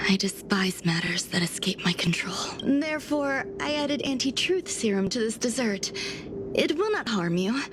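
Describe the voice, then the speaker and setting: A woman playing a poised, enigmatic genius scientist in a video game, speaking formally in a measured, breathy way over music. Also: mid-range voice, background noise. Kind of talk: scripted game dialogue. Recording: music under the voice.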